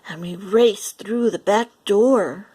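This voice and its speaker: Slow-paced woman reading a children's story. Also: medium pitch, slightly rough. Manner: animated, deliberate, acts out lines and sound effects. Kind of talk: expressive read-aloud of a picture book for young children.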